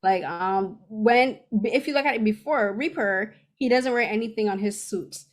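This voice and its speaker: A woman from Barbados, hosting a casual podcast chat and talking in an animated, rambling way full of fillers and restarts. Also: mid-range voice, normal speed. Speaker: podcast host. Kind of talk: casual chat about a TV drama.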